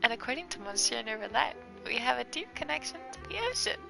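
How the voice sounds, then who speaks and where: A woman's voice: a little high, animated, quick pace, creaky, music in the background. A woman voicing a cute, earnest female sea creature while reading game dialogue aloud. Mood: proud, content.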